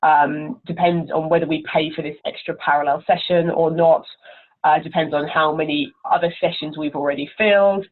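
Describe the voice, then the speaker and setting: A woman's voice: sits low, steady tone, moderate pace. A woman giving informal, encouraging interview answers as a conference chair over the telephone. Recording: narrow, tinny telephone line.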